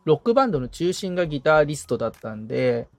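A man's voice: a little high, very animated, fast talker, no echo, faint music behind. A man speaking as a podcast assistant, thinking aloud tentatively in a casual, reflective discussion. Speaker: podcast assistant, guitar repairman being coached.

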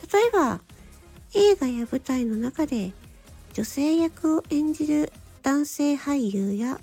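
A woman hosting a solo radio-style talk, speaking politely and gently in short, halting phrases. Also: high-pitched. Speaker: radio show host and amateur voice actor.

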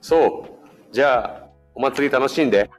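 A man's voice: medium pitch, moderate pace, faint music behind, lightly acted. A man playing a mild, friendly young man in a radio drama. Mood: friendly, gentle. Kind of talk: bilingual radio drama acting.

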